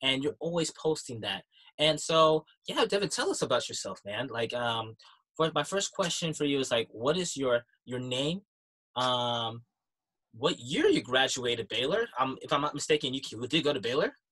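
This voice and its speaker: Bright, husky-voiced male podcast host. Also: medium pitch, big pitch swings, moderate pace. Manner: enthusiastic, many fillers. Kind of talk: casual interview.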